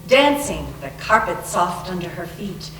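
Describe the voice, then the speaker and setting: A woman's voice: on the low side, sing-song, a little slow, fairly bright tone. A woman reading dramatically as an unhinged, elated young woman in a haunted house, with dreamy, theatrical delivery. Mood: dreamy elation. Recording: echoey room, distant mic, faint noise.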